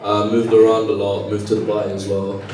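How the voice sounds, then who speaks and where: A young man who grew up in Lebanon, answering interview questions in a halting, earnest way with many fillers. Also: lowish voice, monotone, darkish tone, distant mic, a little hiss or hum. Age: young adult. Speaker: student organiser. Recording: echoey room, voice from off mic.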